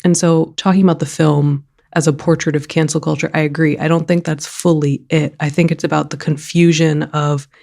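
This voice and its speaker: Gravelly-voiced enthusiastic woman critic. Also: sits low, level tone, brisk, few pauses, a little mellow. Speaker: film critic panelist. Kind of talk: casual discussion.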